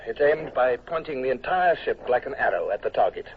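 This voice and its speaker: An actor playing a calm, resolute naval commodore who also narrates, in a measured command voice. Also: male voice, medium pitch, lightly acted. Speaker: narrator and lead. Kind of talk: 1940s radio drama acting. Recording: muffled old radio with clear hiss.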